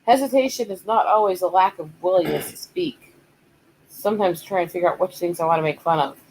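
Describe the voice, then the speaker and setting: A woman's voice: on the low side, brisk, darkish tone, a little hiss or hum, rough recording. A woman hosting a live art stream, teasing her co-hosts in a dry, blunt way. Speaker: stream host and artist.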